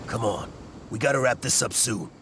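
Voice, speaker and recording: A man playing a gruff, bossy and slippery treasure hoarder in a video game. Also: medium pitch, normal speed, noisy background, natural voice. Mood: bossy, impatient.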